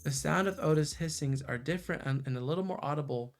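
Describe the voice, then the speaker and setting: Guy streaming a visual novel read-aloud, bit high pitched, lots of fry, some music under it. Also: fast. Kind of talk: livestream narration of a visual novel. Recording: music behind the voice. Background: background music.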